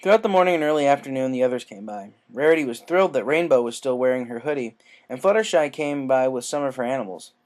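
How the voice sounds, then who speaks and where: A narrator reading scripted fan fiction aloud in an expressive storytelling style. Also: medium pitch, fast talker.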